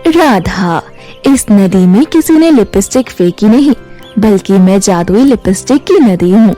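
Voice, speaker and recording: A solemn magical river spirit from a cartoon fairy tale, voiced by a woman, speaks with measured calm. The voice sits lower and assures the listener with grave authority, with faint music behind it. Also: lightly acted. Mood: solemn, assuring.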